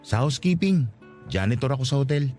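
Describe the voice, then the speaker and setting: Man acting a quick-talking, humble young provincial. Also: mid-range voice, lively intonation, dark tone, soft background music, natural voice. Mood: earnest. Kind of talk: Tagalog radio romance drama acting.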